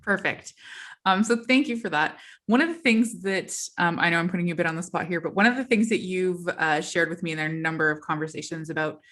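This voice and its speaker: A woman with a lowish voice hosts a podcast interview. She chats warmly in long, winding questions, piling asides and ums on top of each other before landing on the point. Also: a little fast. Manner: chatty, rambling questions with asides. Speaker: podcast host.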